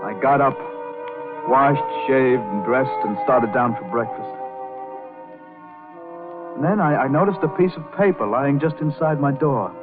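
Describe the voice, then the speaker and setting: An actor playing an earnest, awkward young man with a halting, boyish manner in an old-time radio drama. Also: medium pitch, natural voice. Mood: brooding, narrating. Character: earnest, awkward young piano player narrating his story. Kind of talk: 1940s radio drama acting and first-person narration. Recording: tinny old radio recording with music.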